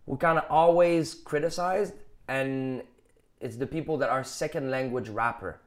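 A man's voice: mid-range voice, normal speed. A man from Quebec, a rapper with a Quebec French accent, talking in an interview and explaining at length in long, thoughtful runs. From Quebec, Canada. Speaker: rapper and musician guest. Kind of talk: interview conversation.

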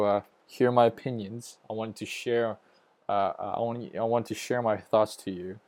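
A man's voice: lowish voice, darkish tone, no echo. A young man from Hong Kong with an American accent, hosting a podcast and ranting casually, hesitant and stumbling, restarting his sentences often. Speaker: podcast host. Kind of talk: casual opinion rant.

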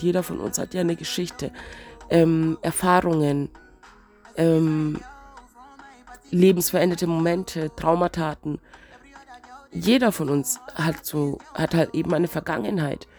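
A woman with a cold, sounding stuffy and wheezy, musing slowly and reflectively in a casual spiritual vlog. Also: low voice, steady tone, a little mellow, soft background music. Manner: slow, searching, reflective musing. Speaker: vlogger.

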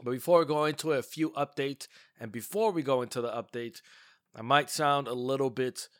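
A man's voice: medium pitch, brisk, dry recording, studio quality. A man with a congested, stuffy voice from a cold, hosting a casual gaming podcast and talking with lots of fillers and restarts. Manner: chatty, many fillers and restarts. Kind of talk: casual solo podcast commentary.

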